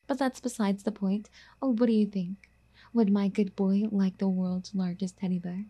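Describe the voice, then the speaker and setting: A woman playing a doting, possessive rich girlfriend in an ASMR roleplay, soft-spoken and coaxing, often slipping into whispers. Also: medium pitch, steady tone, normal speed, natural voice. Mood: coaxing, eager.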